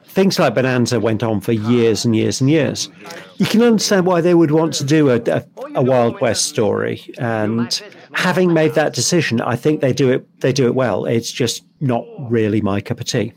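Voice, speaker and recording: A man's voice: medium pitch, lively intonation, moderate pace, creaky, a little mellow. Easygoing English man chatting. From England. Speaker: podcast co-host. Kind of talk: casual commentary while watching.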